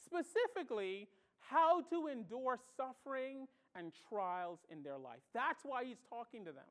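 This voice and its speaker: High-pitched woman pastor, preaching hard, repeats her points, asks then answers. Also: big pitch swings, measured pace. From the Bahamas. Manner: emphatic, asks and answers rhetorical questions; repeats key phrases for emphasis. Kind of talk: sermon.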